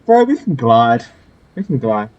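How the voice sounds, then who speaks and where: A man's voice: mid-range voice, very animated, measured pace, a little mellow, dry recording, muffled recording. A man playing a video game and giving casual, informal, off-the-cuff commentary as he reacts. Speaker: gamer.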